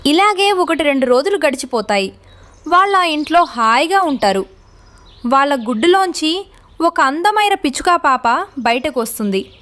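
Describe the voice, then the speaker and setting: High-pitched woman narrating a children's story. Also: a bit bright.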